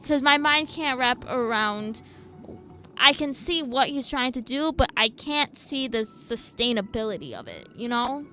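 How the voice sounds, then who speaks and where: A woman's voice: a little high, animated, unhurried, bright, forceful tone, slight background noise. A woman streaming a game, giving casual, informal reaction commentary as she thinks aloud in a skeptical way.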